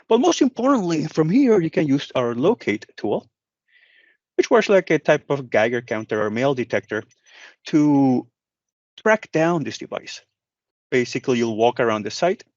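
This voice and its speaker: A man's voice: medium pitch, dry recording, muffled recording. A man giving a conversational product walk-through, explaining each step directly to the viewer. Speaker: product manager presenting a product demo. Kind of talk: software walk-through tutorial.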